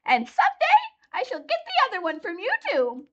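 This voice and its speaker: Shrill, wailing wicked old witch. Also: wildly swooping pitch, moderate pace, dull audio, full character voice. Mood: gloating. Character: shrieking wicked old witch, cunning and cruel.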